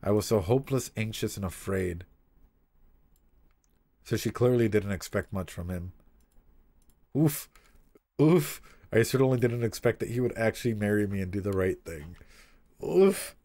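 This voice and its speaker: A man streaming a casual read-aloud with commentary, talking in an animated, swearing way with frequent asides. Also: on the low side, normal speed, long pauses.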